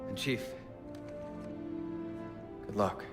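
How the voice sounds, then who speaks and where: A man playing a loyal, earnest military commander in a cinematic video game. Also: drawn-out words. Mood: earnest concern. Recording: music behind.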